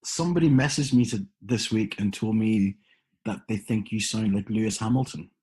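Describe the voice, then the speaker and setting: A man's voice: on the low side, normal speed, darkish tone, slightly rough. A British man co-hosting a podcast, joking and laughing often in casual chat. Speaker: podcast co-host.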